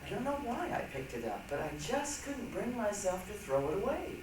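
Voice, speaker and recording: A woman performing dramatic monologues and recitation on stage with expressive, theatrical comic timing. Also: mid-range voice, fairly quick. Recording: echoey hall from far off, faint noise.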